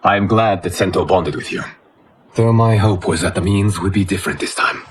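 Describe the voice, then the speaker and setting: Man voicing a solemn, low-voiced godly protector. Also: a little hiss or hum, lightly acted. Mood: calm authority. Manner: formal, measured, commanding. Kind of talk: cinematic video game acting. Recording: loud music behind the voice.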